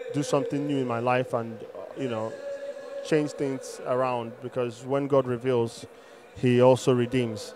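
A man speaks as a congregant giving his testimony. His pitch is average and stays very flat. He hesitates and searches for words, with faint open-air ambience behind him. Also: noisy background. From Enugu, Nigeria. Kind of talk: religious testimony, answering questions. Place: open arena. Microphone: handheld microphone held for him.

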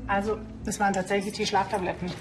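An actress playing a cool, matter-of-fact forensic pathologist with a measured, dry delivery. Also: female voice, medium pitch, level tone, loud music behind the voice, natural voice. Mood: clinical. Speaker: actor in a TV crime comedy.

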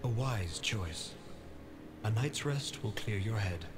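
A man voicing an ancient talking sword in a game, calm and measured like a sage. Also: fairly low pitch, faint music behind. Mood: solemn. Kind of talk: game cutscene dialogue.

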